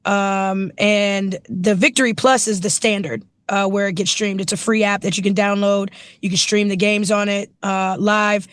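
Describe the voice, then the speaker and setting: Hoarse raspy-voiced woman coach. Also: mid-range voice, fairly even intonation, normal speed, few pauses, no room sound. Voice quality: naturally raspy voice. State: hoarse from yelling at practice. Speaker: running backs coach, guest. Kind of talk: casual interview.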